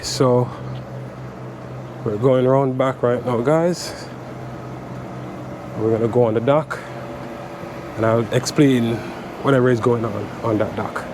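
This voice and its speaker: A man in his thirties with a stuffy cold, casually explaining electrical prep work step by step, recorded outdoors on a sunny dock with a chest-mounted camera. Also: medium pitch. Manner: relaxed, repeats "so" and "guys" often, explains step by step. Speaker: electrician vlogger. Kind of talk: casual how-to vlog. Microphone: chest-mounted action camera. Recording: outdoor noise with traffic and air conditioning.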